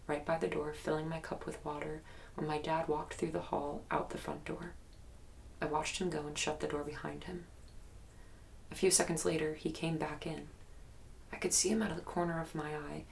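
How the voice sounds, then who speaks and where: A haunted, earnest young woman in an investigative audio drama. Her voice is very low with a crackle of fry. She tells her story plainly but haltingly and guardedly, with faint noise behind her.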